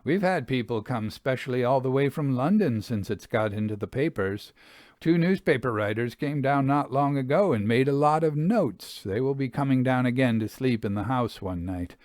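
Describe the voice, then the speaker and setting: A man's voice: mid-range voice, animated, normal speed, a little mellow, no room sound, studio quality, close to an everyday voice. A man voicing a plain-spoken, hard-working country farmer in a storybook, friendly and matter-of-fact, with rustic grammar. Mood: obliging, chatty.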